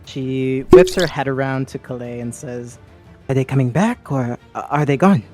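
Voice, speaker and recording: A woman's voice: extremely low pitch, moderate pace, dark tone, soft background music. A woman playing a tabletop roleplaying game, narrating what her character does.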